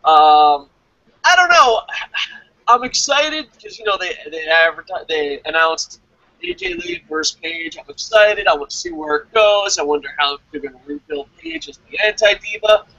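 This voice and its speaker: Excitable guy, high bright voice, rambling fan talk on a review show. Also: dry recording, muffled recording. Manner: animated, rambling, repeats himself. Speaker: co-host of a wrestling review show. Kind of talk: excitable casual fan commentary.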